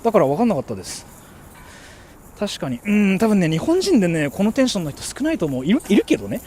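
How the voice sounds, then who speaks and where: A young man from Japan, a native Japanese speaker, chatting to his livestream viewers. His voice sits high and swings widely in pitch. He rambles along with fillers and an emphatic "freaking" in a loose, casual stream of talk. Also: wildly swooping pitch, rapid-fire, dark tone, a little hiss or hum. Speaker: streamer reading chat comments.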